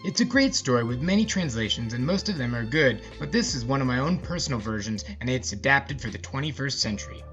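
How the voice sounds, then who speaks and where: Animated male storyteller, slightly muffled. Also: medium pitch, quick pace, few pauses. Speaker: podcast host and storyteller. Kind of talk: scripted story narration with casual asides. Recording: slightly muffled, music behind. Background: music.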